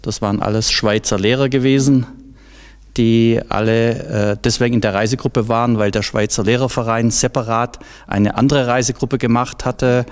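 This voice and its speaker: A man's voice: medium pitch, fairly even intonation, moderate pace, clean tone. A middle-aged man from southwest Germany telling anecdotes informally in an interview, into a handheld microphone. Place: large indoor hall.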